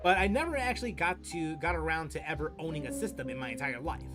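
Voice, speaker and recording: A young man streaming games, chatting casually in a rambling, halting way and repeating phrases for emphasis. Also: on the high side. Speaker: gaming streamer. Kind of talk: casual, rambling gameplay chat. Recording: game music behind.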